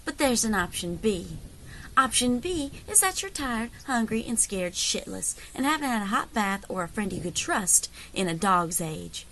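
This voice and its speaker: A woman's voice: mid-range voice, very animated, moderate pace, bright, forceful tone, slight background noise. A woman playing a shrewd, unflappable frontier scout leader in an audio drama, with a wry, persuasive drawl. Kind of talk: dramatic audio fiction acting.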